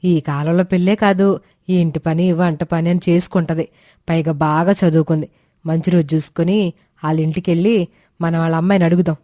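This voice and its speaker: A woman's voice: fairly low pitch, fairly even intonation, a little fast, warm tone, no echo, natural voice. A woman voicing an affectionate, proud middle-aged mother-in-law in a family story. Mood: pleased.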